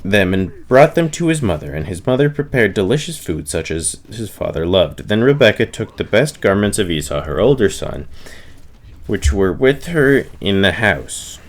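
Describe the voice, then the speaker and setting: A man reading the Bible aloud from the text, giving the dialogue expressive emphasis in his own voice. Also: lowish voice, normal speed. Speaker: reader.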